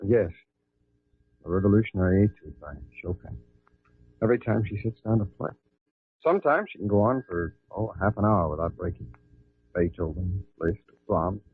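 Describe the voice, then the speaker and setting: A dull vintage radio recording carries a low, very rough male voice. It is a troubled husband in a radio drama. He sounds grave, subdued and deliberate. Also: animated, exaggerated voice. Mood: resigned. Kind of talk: old American radio drama acting.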